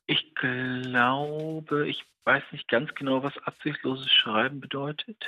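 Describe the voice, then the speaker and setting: A man's voice: medium pitch, fairly even intonation, slow pace, pushed and bright. A man being interviewed as a writer, reflecting on his own work in a tentative, searching way and often correcting himself. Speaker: writer and radio play director being interviewed. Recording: tinny phone-like line.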